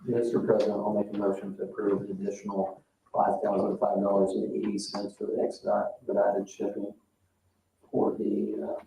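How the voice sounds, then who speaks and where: A man's voice: on the low side, completely flat delivery, normal speed, warm tone. A man speaking as a council member, making motions and short remarks in a public meeting. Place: indoor council meeting room. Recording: echoey distant room pickup, clear noise.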